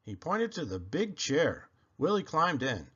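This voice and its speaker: A man reading a children's picture book aloud in an expressive, animated and deliberate storytelling manner. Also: fairly high pitch, breathy edge, lo-fi sound.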